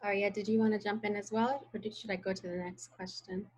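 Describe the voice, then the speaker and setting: A woman's voice: mid-range voice, flat delivery, quick pace, darkish tone, slightly rough. A woman moderator reading out audience questions over a video call. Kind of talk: moderating an online Q&A.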